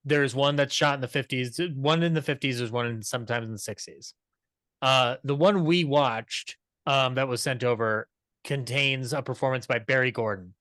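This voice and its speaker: Young male podcast panelist, bright forward voice, dry close sound, chatty and enthusiastic. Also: medium pitch, normal speed, dry recording. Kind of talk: conversational show commentary.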